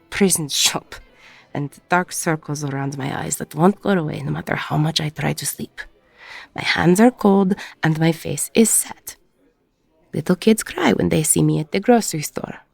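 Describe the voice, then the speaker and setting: A woman playing a weary, gruff, middle-aged ex-con bartender, husky and breathy, blunt and self-deprecating, in an intimate audio roleplay. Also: low voice, moderate pace, no echo, faint music behind, exaggerated voice. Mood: flustered, incredulous.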